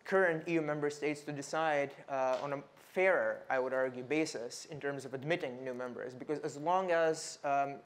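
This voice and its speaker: Young man from north macedonia, panel researcher, measured, many fillers and repeats. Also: on the high side. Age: young adult. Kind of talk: panel talk.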